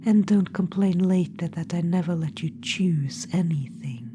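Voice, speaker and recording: A woman's voice: fairly low pitch, measured pace, background noise, close to an everyday voice. A woman voicing a vain, imperious undead witch queen in a whispered ASMR roleplay, teasing and condescending toward the listener. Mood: bickering. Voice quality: soft whispering.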